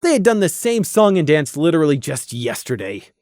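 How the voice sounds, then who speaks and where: A woman reading scripted story narration in an expressive, dramatic way, as an audiobook narrator. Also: deep voice, fairly quick, dry recording, studio quality.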